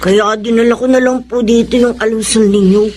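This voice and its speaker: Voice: medium pitch, fairly even intonation, fairly quick, a little hiss or hum, lightly acted. A performer playing a young adopted boy in a Filipino radio drama, childlike, plaintive and polite with a Filipino accent. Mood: meek, gentle. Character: young adopted boy, meek, hurt, loving toward father. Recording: loud music under the voice.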